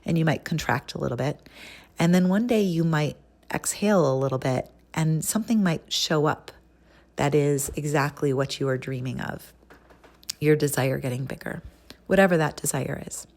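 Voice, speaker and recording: A woman leading a guided breathing visualization in a gentle, unhurried, instructional manner with long pauses. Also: deep voice. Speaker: guided meditation leader.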